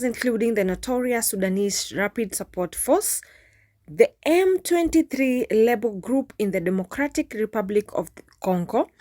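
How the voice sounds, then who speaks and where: High-voiced woman reading news. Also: darkish tone, no echo, clean studio sound. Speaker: news narrator. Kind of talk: scripted news narration.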